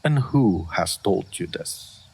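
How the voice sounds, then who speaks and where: Man playing a very deep, gravelly, aloof regal dragon. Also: lively intonation, a little mellow, heavily acted. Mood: puzzled, reserved. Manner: formal, measured, stoic speech with deliberate pauses. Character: regal, aloof male dragon in human form, wise and guarded. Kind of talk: scripted fantasy audio roleplay.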